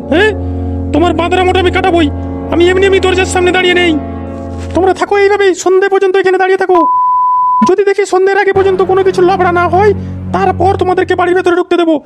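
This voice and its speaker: A stubborn old cartoon granny, played by a man, nagging and defiant in a very high voice. The words tumble out extremely fast on a narrow pitch, over music. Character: stubborn, scolding old village grandmother. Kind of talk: Bengali comedy cartoon dialogue.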